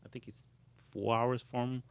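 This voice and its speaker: A Brazilian-born host whose first language is Portuguese puts friendly questions to his guest in a mid-range voice. He pauses and restarts as he searches for words. The recording is close and dry. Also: mid-range voice, level tone, smooth, dry recording. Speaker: podcast host. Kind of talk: casual interview.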